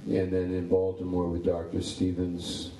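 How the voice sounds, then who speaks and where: A man's voice: low voice, monotone, moderate pace, warm tone, roomy sound, recorded from a distance, low-quality recording. A pastor in his sixties chatting casually about his ministry, rambling and repeating words. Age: 60s. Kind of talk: casual interview chat.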